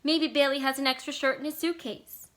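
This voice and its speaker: A woman's voice: high voice, steady tone, a little fast, a bit bright, voice pushed up. A woman voicing an anxious, genteel old grandmother in a story, pleading and dramatic. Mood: anxious politeness.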